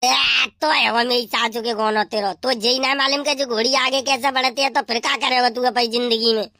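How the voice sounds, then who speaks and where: A man plays an irritable father bird in a comic cartoon. The voice is very high and piercingly bright, pitched up artificially, with a rustic western Uttar Pradesh accent. He scolds and bosses in a dry, close sound. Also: steady tone, brisk, gravelly, no echo, exaggerated voice. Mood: bossy, irritated. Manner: scolding, rustic, repetitive cursing. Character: bossy, irritable father of a pigeon bridegroom.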